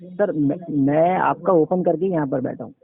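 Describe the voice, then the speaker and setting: A man's voice: fairly high pitch, normal speed, creaky, husky, dry recording. A man working as a customer service agent on a phone call, deferential but defensive and repeating himself. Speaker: insurance claims call executive. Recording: crackly narrowband phone line.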